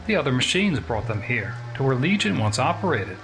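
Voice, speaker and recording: A man voicing a logical, single-minded robot in a narrated story, with measured, declarative delivery. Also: mid-range voice, very animated, music in the background. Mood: matter-of-fact.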